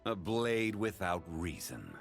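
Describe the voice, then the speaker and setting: Game role: solemn guardian ninja, very deep and unhurried, formal, calm authority. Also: male voice, faint music behind, full character voice. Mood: calm, grave. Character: calm, honorable male ninja guardian of balance. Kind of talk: scripted game character voice lines.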